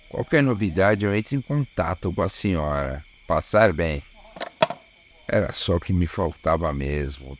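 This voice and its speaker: Man acting a low-voiced, grumbling Brazilian night-shift policeman. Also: a little hiss or hum, close to an everyday voice. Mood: weary, grumbling. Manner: colloquial, grumbling. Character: weary, grumbling, dogged small-town policeman on night shift. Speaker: voice actor. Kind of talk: audio drama acting.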